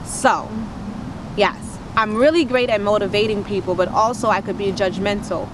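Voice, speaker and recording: A woman's voice: mid-range voice, normal speed, noisy background. A woman with a naturally strong voice speaking to camera as a motivational speaker and poet, in an earnest, preachy, uplifting cadence that repeats its phrases. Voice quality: strong, powerful voice. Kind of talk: inspirational testimony to camera.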